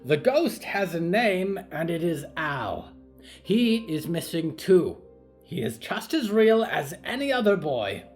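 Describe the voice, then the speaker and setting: An imperious old grandmother, acted out by a man for an audio story. The voice is pitched up and very animated. She is fiercely protective, with a cutting, emphatic way of talking. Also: takes it slowly, soft background music, heavily acted. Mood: indignant. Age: older. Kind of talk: audio fiction story acting.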